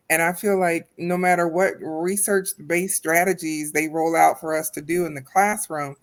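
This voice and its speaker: A woman hosting a podcast interview, speaking with enthusiastic, affirming encouragement toward her guest. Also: sits low. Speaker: podcast host and educator.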